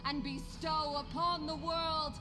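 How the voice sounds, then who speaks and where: A fervent, extremely high-pitched herald proclaims grandly in a video game. The delivery is measured and declamatory, ringing through a big hall. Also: female voice, flat delivery. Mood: reverent, fervent. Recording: long hall reverb under music.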